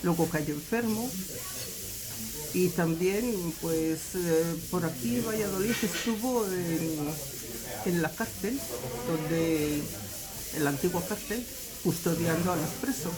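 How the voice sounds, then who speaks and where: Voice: medium pitch, slow, crowd noise, low-quality recording. A person giving spontaneous family testimony, hesitant and correcting themselves as they go.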